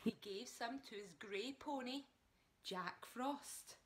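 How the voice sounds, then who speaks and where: A woman's voice: a little high, slow pace, background noise. A woman reading a children's story aloud like a primary teacher, with dramatic emphasis, speaking directly to young listeners.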